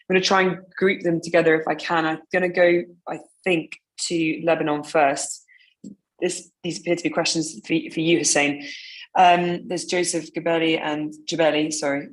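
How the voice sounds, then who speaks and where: Lowish woman hosting a panel, chatty and a bit sorry-sounding, lots of um. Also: low-quality recording. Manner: apologetic, chatty, with fillers. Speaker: moderator. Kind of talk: moderating a panel discussion.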